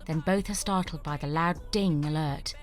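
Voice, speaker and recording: A woman narrating the stage directions of a screenplay in a clear, measured way. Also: deep voice, over loud music.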